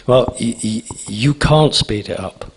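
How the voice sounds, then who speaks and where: A man's voice: mid-range voice, unhurried, a little hiss or hum. A man speaking at a conference, emphatic and repetitive, answering audience questions. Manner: emphatic, repeats phrases, asks "okay?".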